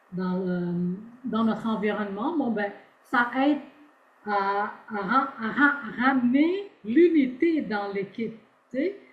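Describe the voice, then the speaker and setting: A woman, speaking as a guest over a video call in a halting way with many restarts. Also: mid-range voice. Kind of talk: casual podcast interview. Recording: tinny echoey call audio.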